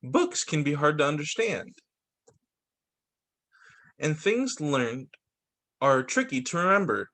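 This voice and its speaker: A man with a fairly high voice reads a picture book to children in a teacher's story-time style. His pitch swings widely as he goes, with expressive, deliberate emphasis and occasional restarts. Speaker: teacher reading picture books.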